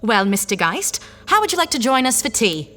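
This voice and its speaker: Woman doing a plummy unflappable space lady, high and bright, echoey, some music. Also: sing-song, brisk. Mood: breezy. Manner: plummy, breezy. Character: posh cheerful spacefaring wife, brisk and unflappable. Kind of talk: audio drama acting.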